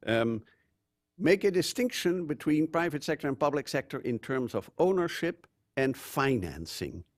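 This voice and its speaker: An older man from the Netherlands with a Dutch accent, giving a measured conference talk with frequent fillers. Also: a little high, sing-song, no room sound, studio quality. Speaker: conference speaker. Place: conference room.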